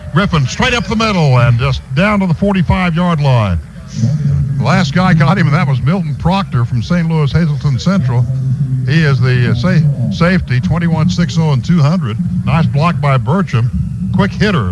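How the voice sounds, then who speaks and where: American man calling football, noisy broadcast. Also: on the high side. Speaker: radio play-by-play announcer. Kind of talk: live American football radio commentary. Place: stadium radio booth. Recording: noisy stadium radio broadcast recording. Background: clear background noise.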